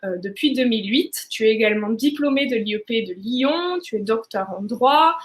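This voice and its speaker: High-pitched woman hosting a live conference. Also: lively intonation, moderate pace. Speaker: conference host. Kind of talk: live online conference presentation, semi-scripted.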